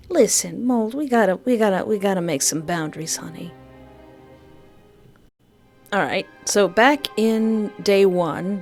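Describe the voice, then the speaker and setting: A woman reading visual novel text aloud as a gamer, breaking in with playful, chatty asides and exclamations. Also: fairly low pitch. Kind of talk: let's play: reading game text aloud with playful commentary. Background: game music.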